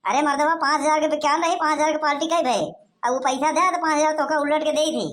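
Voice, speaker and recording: A woman's voice: steady tone, fast, a bit bright. A woman voicing a sly, cheeky young village lad in a cartoon, with an Awadhi accent and a whiny manner. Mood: complaining. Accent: Awadhi, eastern Uttar Pradesh. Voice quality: high-pitched cartoon voice.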